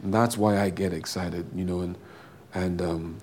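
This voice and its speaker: A man preaching with a big booming voice that sits very low and stays flat, with little rise and fall. He prays and preaches slowly and fervently, stretching out his phrases and repeating them. A faint hum of room ambience sits behind him. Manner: slow, drawn-out, fervent delivery with repeated phrases. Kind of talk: sermon with prayer.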